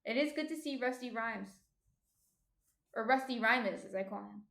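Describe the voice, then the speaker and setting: A woman's voice: a little high, moderate pace, gravelly, a bit bright, echoey room. A woman with a slight New Jersey accent hosts a baking livestream, reading viewer comments aloud and chatting as she cooks. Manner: chatty, thinks aloud while cooking.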